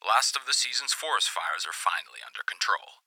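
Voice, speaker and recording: A man's voice: deep voice, expressive, brisk, bright, forceful tone, dry recording, full character voice. A man voicing a male radio news reporter with a richly rounded, practiced delivery. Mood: earnest.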